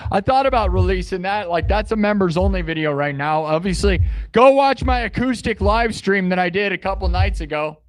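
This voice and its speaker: A man hosting a music livestream, chatting casually and slangily with his viewers and giving hyped shout-outs. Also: animated, a little fast. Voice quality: bass singing voice.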